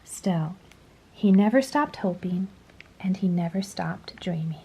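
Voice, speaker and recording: Slow, expressive woman reading a storybook. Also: on the low side, a little hiss or hum, low-quality recording. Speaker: storybook reader. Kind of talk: expressive children's read-aloud narration.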